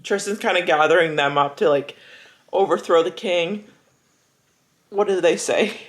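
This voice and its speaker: A young adult woman chatting casually as a book vlogger, gushing and animated, with dramatic emphasis and plenty of "like" as filler. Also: fairly low pitch, measured pace. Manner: frequent filler "like". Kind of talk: casual reading vlog chat.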